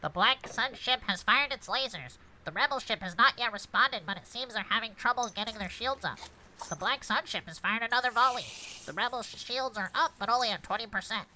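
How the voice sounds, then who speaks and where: A woman's voice: on the high side, fairly quick, bright, forceful tone, low-quality recording. A woman playing a dutiful droid co-pilot in a script read, giving formal, precise status reports. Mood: formal reporting. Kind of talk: fan script table read, play-by-play reporting. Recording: clear background noise.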